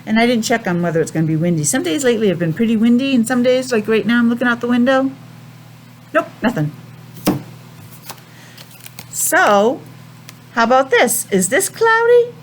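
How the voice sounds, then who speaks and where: High, sing-song American woman teaching children. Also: lively intonation, a little hiss or hum. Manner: simple sing-song teaching with questions and pauses. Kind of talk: children's calendar and weather lesson.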